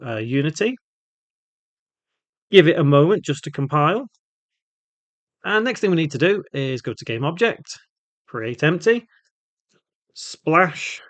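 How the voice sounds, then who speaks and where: A man hosting an informal step-by-step software tutorial, thinking aloud as he works through it. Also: a little high, no room sound. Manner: thinks aloud while working, spelling out code symbol by symbol. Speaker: tutorial host, game development instructor.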